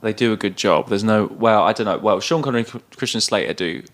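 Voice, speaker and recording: A man with a low-pitched voice chats as a podcast co-host. He reviews in an understated, tentative way, letting his sentences trail away. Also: fast, a bit bright. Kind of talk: casual film review chat.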